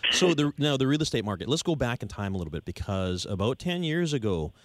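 A man's voice: mid-range voice, big pitch swings, fast talker, dry recording. A man hosting a radio home show, upbeat and chatty, often jumping in with comments.

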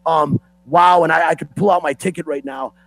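A hoarse man hosting a sports talk show, opinionated and ranting like a fan. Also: on the high side, steady tone, moderate pace, fairly bright tone, no echo, rough recording.

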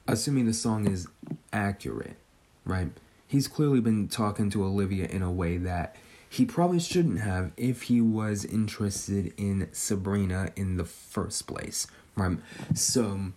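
Podcast host, man in his twenties, low mellow voice, loose speculative chatter, ends his lines with "right?". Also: slight background noise. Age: 20s. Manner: tags nearly every sentence with "right?". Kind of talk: casual speculative monologue.

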